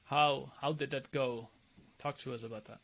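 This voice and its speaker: A man's voice: medium pitch, fairly even intonation, moderate pace, creaky, fairly bright tone. A man hosting a podcast, introducing his guest and asking him questions in an upbeat, chatty way. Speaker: podcast host. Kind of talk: casual interview with a scripted intro.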